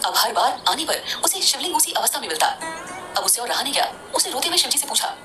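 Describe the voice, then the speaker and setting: A woman's voice: sits high, sing-song, fast, music in the background. A woman narrating a scripted devotional story.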